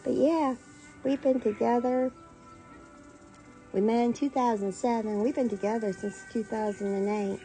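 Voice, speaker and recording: A woman's voice: a little high, fairly even intonation, normal speed, pauses often, warm tone, rough recording. A middle-aged American woman telling a personal story casually, with a playful, sassy manner and acted-out dialogue. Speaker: storyteller, online content creator. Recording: music playing behind the voice. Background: clear background music.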